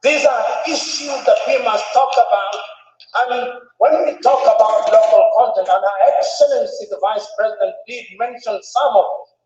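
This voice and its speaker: Man, high-pitched orator, emphatic public address, echoey far-off hall sound. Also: normal speed, darkish tone, a little hiss or hum. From Tanzania. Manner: emphatic, oratorical, repeats phrases for stress. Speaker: conference speaker. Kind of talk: formal public speech. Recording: echoey hall, distant dull mic.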